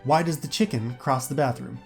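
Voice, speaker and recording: A man's voice: medium pitch, fairly quick, vocal fry, darkish tone, natural voice. A man voicing a nervous, skeptical, wisecracking teenage schoolboy in an audio story with music behind. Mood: joking. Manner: flustered, quipping.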